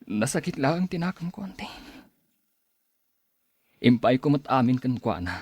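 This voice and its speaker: A man's voice: medium pitch, big pitch swings, measured pace, darkish tone, slightly rough, no room sound. A man with an Ilocano accent acting a heartbroken, self-pitying young man in a radio drama, plaintive and brooding. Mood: sad resignation.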